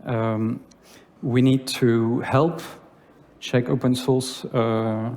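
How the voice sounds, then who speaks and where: A man from France with a French accent giving a conference talk in English, pausing often with filler sounds. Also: mid-range voice, flat delivery, darkish tone. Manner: hesitant, many filler sounds. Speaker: conference speaker. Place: lecture hall. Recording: long reverb of a hall.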